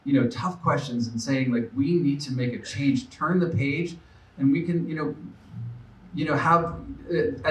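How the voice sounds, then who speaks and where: A man's voice: mid-range voice, fairly bright tone. A man in his forties giving an earnest, off-the-cuff campaign speech at a fundraiser, with many fillers and restarts. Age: 40s. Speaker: political candidate. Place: room at a live event. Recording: roomy echo, speaker far from mic.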